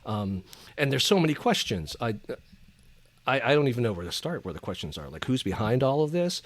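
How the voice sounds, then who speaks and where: A man's voice: mid-range voice, big pitch swings, fairly quick, slight background noise. A man speaking as a podcast panelist in casual, enthusiastic pop culture chat.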